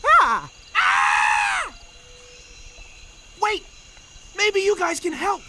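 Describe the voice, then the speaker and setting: An actor voicing a panicked little goose pleading for help in an animated game, frantic and shouting. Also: very high-pitched, very slow, very noisy, exaggerated voice.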